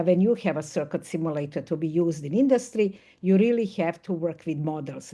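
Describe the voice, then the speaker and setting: Older woman from Serbia, low mellow voice. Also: breathy edge. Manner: thoughtful, explanatory, with occasional laughs. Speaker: panelist, professor. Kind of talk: online panel discussion. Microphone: video call.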